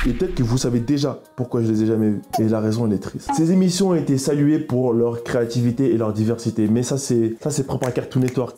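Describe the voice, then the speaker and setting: A man's voice: mid-range voice, dark tone. A man in his early twenties narrating in a chatty, informal video-essay style, often addressing viewers directly. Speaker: video creator narrating cartoon history. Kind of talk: casual YouTube narration mixing script and asides.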